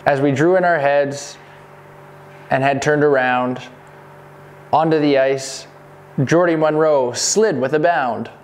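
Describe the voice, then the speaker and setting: A man's voice: a little high, takes it slowly, long pauses, a little hiss or hum. Man reading rhyming Christmas verse. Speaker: reader of a Christmas poem parody. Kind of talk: scripted verse narration.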